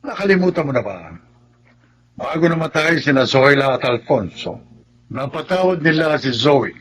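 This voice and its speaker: An actor playing a calm, firm family lawyer with a formal, measured, reasoning manner in a radio drama. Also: male voice, on the high side, slightly rough. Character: calm, firm family attorney reading a will. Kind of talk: Tagalog radio melodrama acting.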